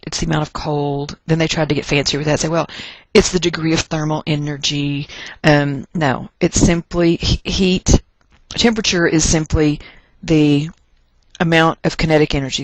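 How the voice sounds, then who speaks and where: An American teacher from Texas with a mid-pitched voice gives a chemistry lecture in a folksy, conversational way. Key points get deliberate stress and repetition, with joking asides along the way. The sound is dry, with almost no room echo. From Texas, United States. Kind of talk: lecture narrated over class notes.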